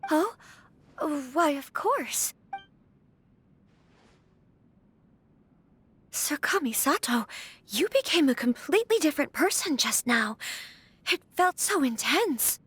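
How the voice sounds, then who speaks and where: An actress playing a gentle noblewoman in a game, speaking in a soft, polite and thoughtful way. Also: sits high, very animated, unhurried, dry recording, exaggerated voice. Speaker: game character.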